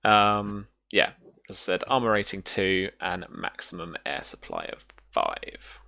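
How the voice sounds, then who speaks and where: Deep-voiced man running a tabletop game. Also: lively intonation, measured pace, creaky, sharp tone, dry recording. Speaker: game master. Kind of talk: casual game master narration.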